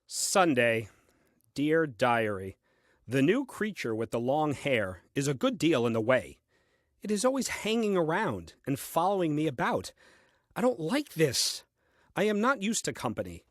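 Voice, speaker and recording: Grumpy first man reading his diary, put-upon and animated, dry sound, radio play comedy. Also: a little high, measured pace, no room sound. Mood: grumbling, puzzled. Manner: grumbling, clipped, put-upon. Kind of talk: theatrical audio play monologue as diary.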